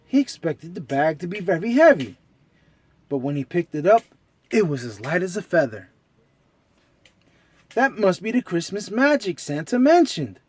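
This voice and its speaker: A man reading a story aloud to children in an animated, theatrical storytelling style. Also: sits high.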